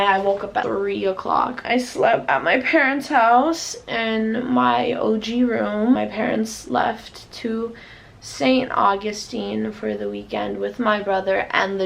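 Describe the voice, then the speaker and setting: A woman's voice: mid-range voice, gravelly, a bit bright, a little hiss or hum. A young woman from the United States talking casually and frankly to the camera, swearing often. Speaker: vlogger.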